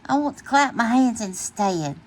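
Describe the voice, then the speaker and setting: A woman's voice: a little high, lively intonation, moderate pace, pitched above the performer's own voice. A woman voicing a grumpy, stubborn male toad in a children's storybook, complaining as he speaks. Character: grumpy male toad who refuses to sleep.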